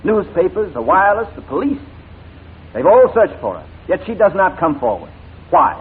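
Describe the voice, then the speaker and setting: A man's voice: high voice, big pitch swings, moderate pace, husky, full character voice. A man playing a shrewd, proud English barrister in 1940s radio drama, articulate and formal. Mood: accusing, forceful. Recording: tinny, noisy 1940s radio recording.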